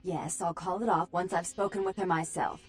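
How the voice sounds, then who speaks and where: Woman acting haughty, low-voiced vampire club owner. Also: steady tone, a little fast, faint music behind, close to an everyday voice. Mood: controlled, commanding. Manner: formal, clipped, imperious. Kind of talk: dramatic video game voice acting.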